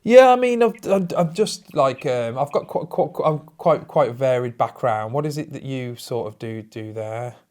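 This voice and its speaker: A British man speaks in a mid-pitched voice as a prank caller. He rambles and stammers through his improvised oversharing, keeping a deadpan, hesitant manner. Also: normal speed, few pauses.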